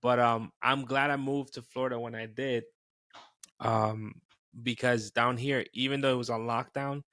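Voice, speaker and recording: A man's voice: mid-range voice, fairly even intonation, moderate pace, a bit bright, no room sound. Laid-back New York man, dry sound. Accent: New York, Dominican-influenced. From New York City. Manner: laid-back, peppers speech with "bro". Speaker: podcast guest. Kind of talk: casual chat.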